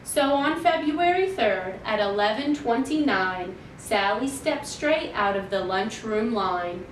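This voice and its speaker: Roomy, slow-paced woman reading a children's story. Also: expressive. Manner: measured, deliberate storytelling for young children. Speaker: children's library storytime reader. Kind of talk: expressive picture-book read-aloud in rhyme. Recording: roomy, distant mic, faint noise and rumble.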